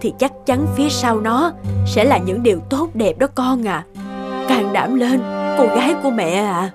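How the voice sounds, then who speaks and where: High-voiced gentle mother, acted by a woman. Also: moderate pace, natural voice. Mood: encouraging. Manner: soothing, affectionate, expressive. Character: gentle, encouraging mother of a young girl. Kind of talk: cartoon dubbing. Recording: music behind the voice.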